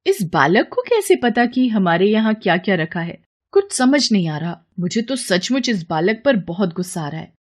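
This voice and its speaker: A woman's voice: medium pitch, big pitch swings, normal speed. A woman voicing a stingy, complaining and easily exasperated housewife in a devotional storybook tale. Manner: complaining, scheming.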